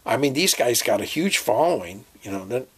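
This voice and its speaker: A man's voice: mid-range voice, sing-song, fairly quick, fairly bright tone, slightly rough. A man performing informal comic dialogue as himself, hesitant, rambling and folksy in his way of talking. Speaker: sketch performer playing himself.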